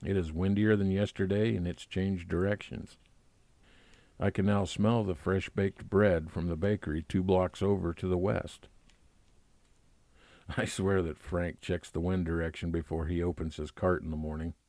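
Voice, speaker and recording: Very deep-voiced male private eye played by a man. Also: steady tone, moderate pace, dark tone, no echo. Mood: reflective. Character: weary, nostalgic male private investigator narrating his case. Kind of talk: old-time radio detective drama narration.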